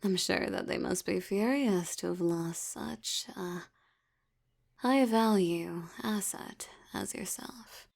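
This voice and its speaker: Woman acting a slow, creaky, seductive vampire elder. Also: on the low side, exaggerated voice. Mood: haughty, predatory. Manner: slow, teasing, menacing, with lingering pauses. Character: dominant, seductive aristocratic female vampire elder. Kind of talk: intimate scripted audio roleplay.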